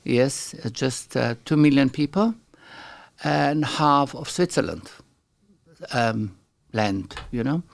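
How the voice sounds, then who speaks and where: A middle-aged man from Slovenia speaks English with a clear Slovenian accent and a gravelly, fry-tinged voice. He is a guest in a relaxed interview and talks in halting second-language English, often pausing to find a word. His pitch sits in a middle range. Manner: halting, searches for English words. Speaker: guest artist. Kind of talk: casual interview chat.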